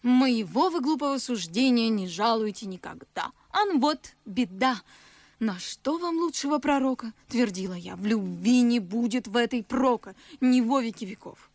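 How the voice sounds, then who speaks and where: An actress playing a pert, shrewd young maidservant, chatty and teasing, in a folksy theatrical radio play style. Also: female voice, fairly high pitch, expressive, normal speed, darkish tone, dry recording. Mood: knowing. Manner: theatrical, folksy, deferential yet cheeky. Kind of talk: radio play acting of classic verse comedy. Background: faint music.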